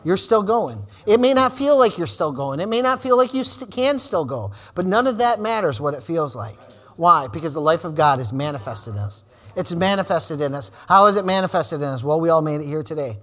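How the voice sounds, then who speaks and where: A man in his forties preaching a sermon, calling out "amen" and "praise the Lord" between lines and building to shouted, repeated refrains. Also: a little high, animated, brisk. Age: 40s. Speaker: pastor.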